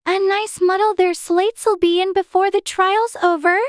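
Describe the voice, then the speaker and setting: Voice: extremely high pitch, fairly even intonation, normal speed, dry recording, clean studio sound, pitched above the performer's own voice. A narrator voicing a spirited, indignant young girl in a dramatized storybook. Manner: indignant, plain-spoken.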